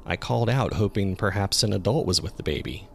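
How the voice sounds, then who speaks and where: A man performs a male jogger recounting an eerie experience in a scripted horror story. His voice sits very low, with a heavy creak at the edges. He tells it in a measured, suspenseful way. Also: slight background noise. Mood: measured, uneasy. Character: local male jogger recounting a terrifying discovery in the woods. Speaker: narrator. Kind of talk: scripted first-person horror narration. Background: ambient sound effects.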